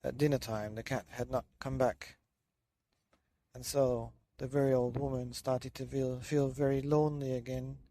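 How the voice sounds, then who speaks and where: A man reading a children's bedtime story in a loose, dramatic way with drawn-out emphasis. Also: mid-range voice, flat delivery, mellow, dry recording. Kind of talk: children's story narration, partly improvised.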